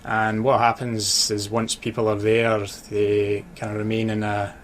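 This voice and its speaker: A Scottish man with a low voice and little rise or fall talks casually as an interview guest. His accent blends Dundee, Glasgow and Edinburgh, and he pauses to think. There is some noise behind him. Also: monotone. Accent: Scottish, mix of Dundee, Glasgow and Edinburgh. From Dundee, Scotland. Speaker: interview guest, author and sports journalist. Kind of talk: casual radio interview chat. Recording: clear background noise.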